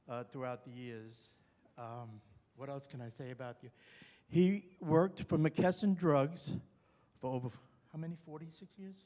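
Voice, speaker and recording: An older man with a Brooklyn accent, loud and chatty, introducing himself and his partner at a community meeting. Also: mid-range voice. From New York. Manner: loud, chatty, self-deprecating. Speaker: new neighborhood representative. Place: chapel hall. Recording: reverberant hall.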